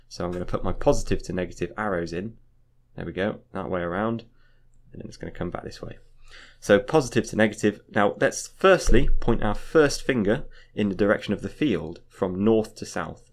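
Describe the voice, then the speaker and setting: A man teaching in an informal explainer style, going step by step, repeating points and often checking in with a short tag. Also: lowish voice. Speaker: physics teacher. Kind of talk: informal explainer lesson, talking through a diagram.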